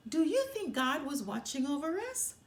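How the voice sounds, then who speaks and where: A woman's voice: high voice, big pitch swings, normal speed, voice pushed up. A woman voicing a small, earnest, outspoken girl bear cub in a children's storybook. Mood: unsure.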